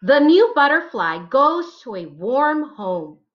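A woman's voice: sits high, very animated, takes it slowly, a bit bright, lo-fi sound. A woman in her thirties reads picture books aloud for children, expressively and with careful phrasing, as a teacher would.

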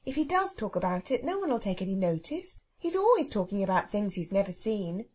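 A woman voicing an earnest, kind and truthful young girl in a children's storybook, with a gentle manner. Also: medium pitch, sing-song, fairly quick, husky. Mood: eager, reassuring.

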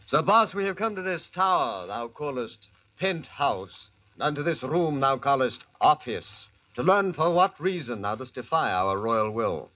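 A man's voice: mid-range voice, very animated, unhurried, sharp tone, exaggerated voice, rough recording. An actor playing a lordly, fair-minded king, declaiming in archaic style in 1940s-style radio drama. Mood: formal.

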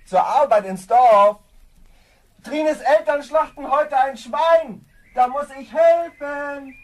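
An actor playing a lazy, simple-minded, cheerful young farm lad in a children's fairy-tale radio play, with a naive drawl. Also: male voice, very high-pitched, slow, low-quality recording.

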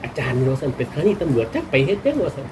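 A man's voice: mid-range voice, sing-song, rapid-fire, a loud crowd. A man with an Isan accent from northeastern Thailand, a Buddhist monk talking in a folksy, colloquial way to temple visitors and giving blessings. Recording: noisy live recording with background ambience.

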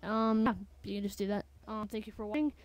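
A woman presenting a casual software tutorial in a halting, informal, offhand way. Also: medium pitch, no echo.

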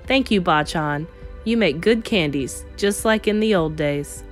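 A woman's voice: on the low side, expressive, measured pace, pitched below the performer's own voice. A kindly old street storyteller from a picture book, acted by a woman, wistful and a little grumbly, musing aloud in exclamations. Music plays behind the voice. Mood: fond, welcoming. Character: nostalgic, kindly old male Japanese storyteller, a bit grumbly. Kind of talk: storybook character voice in a read-aloud.

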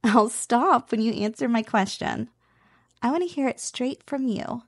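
Woman acting a teasing, high-voiced slime girl. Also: animated, unhurried, no echo, clean studio sound. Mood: playful teasing. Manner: playful, coaxing, intimate address to the listener. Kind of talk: ASMR roleplay.

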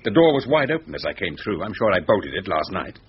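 An actor playing an impatient, sceptical husband in a radio thriller, curt and sarcastic. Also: male voice, sits low, big pitch swings, quick pace. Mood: brusque. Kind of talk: thriller radio drama acting. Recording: dull, noisy radio drama recording.

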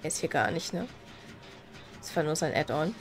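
A woman's voice: deep voice, normal speed, soft background music. A woman with a stuffy, slightly nasal voice from a cold, chatting casually while she plays and explains game mechanics. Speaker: gaming video creator. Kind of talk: casual gameplay commentary.

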